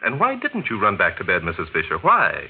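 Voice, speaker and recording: A man playing a shrewd, confident gentleman sleuth, crisp and explanatory in 1940s radio style. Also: low-pitched, wildly swooping pitch, brisk, exaggerated voice. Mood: accusing. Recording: tinny, hissy 1940s radio recording.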